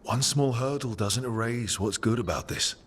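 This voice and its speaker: An actor playing a steady, supportive and slightly wry male team leader in a video game. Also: medium pitch, normal speed, slight background noise, close to an everyday voice. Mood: reassuring. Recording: long reverb, faint music.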